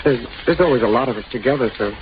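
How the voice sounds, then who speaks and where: Gentle young soldier son played by an actor. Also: male voice, mid-range voice, lightly acted. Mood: reassuring. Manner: halting, gentle, reassuring. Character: weary eighteen-year-old German soldier son, gently reassuring. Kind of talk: 1930s radio acting. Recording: noisy, muffled 1930s radio broadcast.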